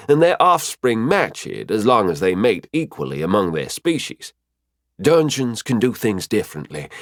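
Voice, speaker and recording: Casting: man as female high spirit, cheerful know-it-all guide, high lilting pitch, lively swings, storybook fantasy. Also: no echo, clean studio sound, natural voice. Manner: chatty, explanatory, fond. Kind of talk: audiobook character voice.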